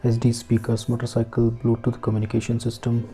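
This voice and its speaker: Very dark-voiced, even-toned man. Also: mid-range voice, normal speed. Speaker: vlogger reviewing a product. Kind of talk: casual unboxing commentary. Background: background music.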